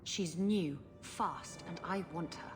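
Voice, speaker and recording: A woman's voice: fairly low pitch, takes it slowly, music in the background. A woman playing a roguish, sly pirate captain in a video game, wry, flippant and theatrical. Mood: brazen, bargaining.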